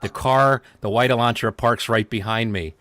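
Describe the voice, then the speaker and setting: A man's voice: mid-range voice, fairly even intonation, normal speed, fairly bright tone, dry recording. A man chatting casually as a guest, rambling and telling stories with many restarts. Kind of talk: casual chat.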